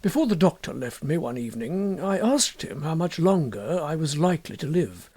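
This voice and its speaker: A man reading a first-person story as an audiobook narrator, expressive, measured and deliberate. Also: fairly high pitch, slightly rough.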